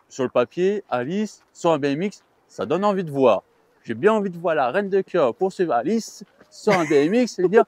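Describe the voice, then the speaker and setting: A man's voice: fairly high pitch, darkish tone, no room sound. A man co-hosting a chatty vlog, talking in a playful, joking way. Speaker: vlog co-host. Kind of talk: chatty theme park news vlog.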